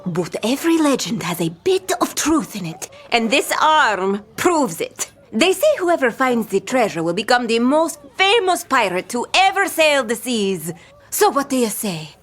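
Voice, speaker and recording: High, theatrical young pirate captain. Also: female voice, big pitch swings, a little slow. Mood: eager, conspiratorial. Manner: theatrical, swaggering pirate talk. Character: bold, boastful young woman pirate captain. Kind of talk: audio drama acting.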